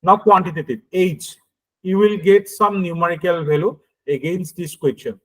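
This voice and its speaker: A man with an Indian accent giving an explanatory lecture in a halting, hesitant way, often checking that listeners follow. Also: sits high, steady tone, a bit bright, rough recording. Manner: halting and hesitant, frequently checks understanding with "okay" and "right". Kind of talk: explanatory lecture with everyday examples.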